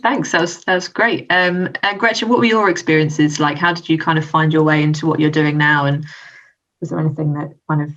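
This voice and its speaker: A woman moderating a panel, asking gentle questions padded with fillers. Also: low-pitched, fairly even intonation, fairly quick. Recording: distant, dull lo-fi sound.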